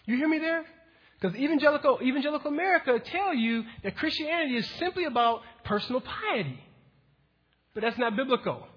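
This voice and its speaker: Guy preaching a sermon, high husky voice, gets really fired up, sounds like an old muffled church recording. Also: expressive, brisk. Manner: conversational, builds to emphatic preaching. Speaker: pastor. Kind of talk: teaching sermon. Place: church hall with congregation. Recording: dull lo-fi recording in a reverberant hall.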